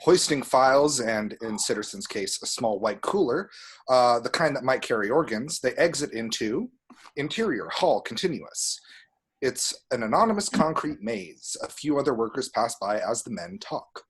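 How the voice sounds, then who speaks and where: A man's voice: medium pitch, animated, normal speed, breathy edge. A man reading scene descriptions as the narrator of an amateur screenplay table read. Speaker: stage direction reader.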